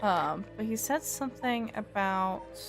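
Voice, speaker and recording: A woman's voice: mid-range voice, animated, unhurried. A woman from Scotland with a mixed Scottish and Canadian accent, chatting casually while streaming a game and laughing easily. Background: game music.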